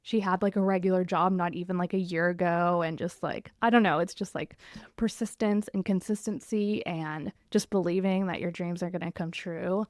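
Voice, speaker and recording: A woman's voice: on the low side, steady tone, moderate pace, darkish tone, no room sound, studio quality. A young woman co-hosting a podcast, chatting casually and effusively in very informal speech. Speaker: podcast co-host. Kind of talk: casual chat between friends.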